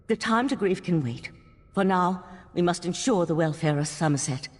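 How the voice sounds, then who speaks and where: Serious fantasy leader lady, husky voice, formal and determined, lots of hall reverb. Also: lowish voice, animated, darkish tone. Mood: weary determination. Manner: formal, deliberate, persuasive. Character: earnest, resolute fantasy leader, burdened yet composed. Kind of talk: fantasy video game dialogue.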